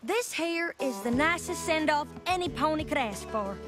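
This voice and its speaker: A woman's voice: high voice, expressive, moderate pace. A woman voicing an earnest female cartoon pony in a heartfelt, declarative way, over music. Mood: grateful. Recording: loud music behind cartoon clip.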